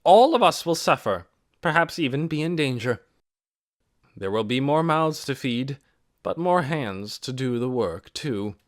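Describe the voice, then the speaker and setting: A man voicing a steady, businesslike woman who leads her village, in measured, solemn tones for an audiobook. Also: medium pitch, voice pushed up. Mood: resolute. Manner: measured, solemn public speaking. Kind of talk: audiobook character voice.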